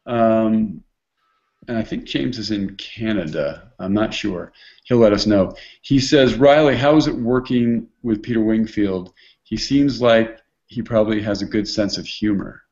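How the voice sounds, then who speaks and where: A man's voice: on the low side, unhurried, a little mellow. Rambling male host on a lo-fi stream. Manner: rambling, many restarts and fillers. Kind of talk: casual online hangout chat.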